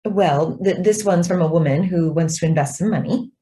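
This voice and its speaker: A woman acts out a young female stenographer in a radio drama. Her voice sits a little low and mellow. She is deferential and measured, yet evasive and quietly persistent. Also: lightly acted. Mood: coy, evasive. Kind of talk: stage-style radio drama acting.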